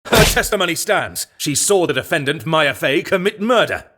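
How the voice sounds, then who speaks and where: A man's voice: a little high, sing-song, normal speed, sharp tone, heavily acted. A man voicing a smug, formal rival prosecutor in a video game dub, precise and pompous. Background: music.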